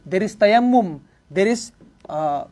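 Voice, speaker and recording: A man in his thirties with an Indonesian accent, giving an informal religious lesson in halting English, filling pauses with "yeah" and "uh". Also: sits high, expressive, a little mellow, a little hiss or hum. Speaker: religious teacher. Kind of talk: informal lecture to a small class. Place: prayer room, indoors.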